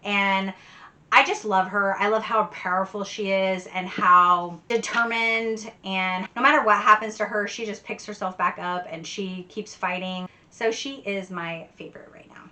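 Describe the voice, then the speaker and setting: A woman's voice: mid-range voice, fairly even intonation, measured pace, bright, forceful tone, slight background noise. A woman book vlogger chatting casually and enthusiastically, with giggly, emphatic repetition. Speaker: book vlogger answering tag questions. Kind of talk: casual chat to camera.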